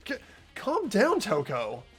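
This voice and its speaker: A player acts out an earnest, mild-mannered teenage boy detective from a game, thinking aloud. The delivery is hesitant but animated in pitch, with music underneath. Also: slow. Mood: earnest, placating. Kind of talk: amateur voice acting and inner monologue of a game script.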